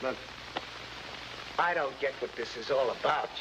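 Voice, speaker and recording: An actor playing a surly, cornered murder suspect in a 1950s crime drama, curt and defensive. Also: male voice, mid-range voice, unhurried, natural voice. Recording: noisy, hissy 1950s TV soundtrack.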